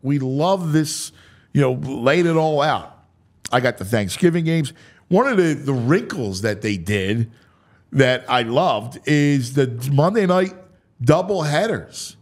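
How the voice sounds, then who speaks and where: A man's voice: medium pitch, expressive, slow pace. A man hosting a casual sports talk show, speaking emphatically and conversationally and repeating phrases for emphasis.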